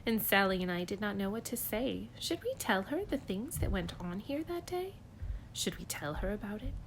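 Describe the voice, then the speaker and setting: A woman's voice: mid-range voice, moderate pace, slight background noise, poor audio. Woman reading a rhyming storybook aloud. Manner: clear, rhythmic and expressive with rhymes. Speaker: preschool teacher. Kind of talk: reading a picture book aloud to children.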